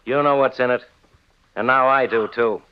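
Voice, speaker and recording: An actor playing a gruff, suspicious police inspector in 1940s radio drama, blunt and accusatory, on an old recording. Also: male voice, mid-range voice, steady tone, moderate pace, narrow, tinny audio, lightly acted. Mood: smug, suspicious.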